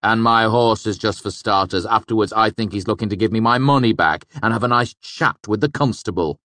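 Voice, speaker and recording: A man voicing a sardonic, composed scribe with a grudge in a storybook, speaking in a measured way. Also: a bass voice, steady tone, a bit bright, no room sound. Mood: calm menace. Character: dry, aggrieved male scribe, sharp and composed.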